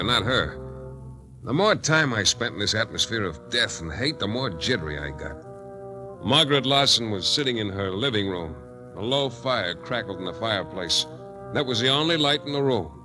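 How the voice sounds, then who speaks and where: An actor playing a tough, wry private detective in slangy hard-boiled 1940s radio style. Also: male voice, lowish voice. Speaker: lead actor and narrator. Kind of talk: 1940s radio detective acting with first-person narration. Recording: 1940s radio recording, music behind.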